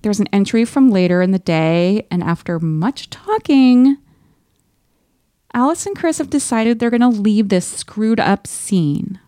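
A woman co-hosting a podcast in casual chat, retelling things in a wry, animated, slangy way. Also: mid-range voice, a little slow, no room sound, clean studio sound. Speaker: podcast co-host. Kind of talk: casual book-discussion chat.

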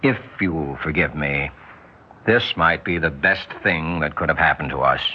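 An actor playing a blunt, uneasy business associate in a 1960s radio drama, stiff and polite. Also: male voice, very deep voice, normal speed. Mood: dry, blunt. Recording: muffled, hissy 1960s radio recording.

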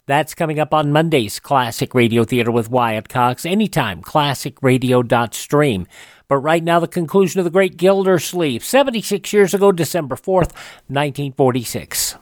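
A man's voice: a little high, lively intonation, normal speed, dry recording, clean studio sound. A man hosting a radio show, reading announcements in a scripted style.

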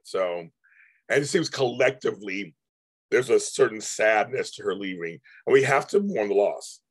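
A man hosting a casual live stream, his voice going hoarse, rambling with many restarts and repeated words. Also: fairly low pitch. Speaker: livestream host.

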